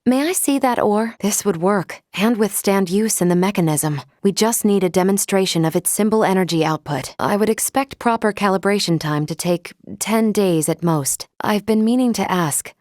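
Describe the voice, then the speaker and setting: A woman voicing a calm, logical female android in a video game, speaking in a formal, precise and measured way. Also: medium pitch, no room sound, studio quality, close to an everyday voice. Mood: calm, analytical. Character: calm, logical female android crew member, polite and analytical. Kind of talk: video game character voice acting.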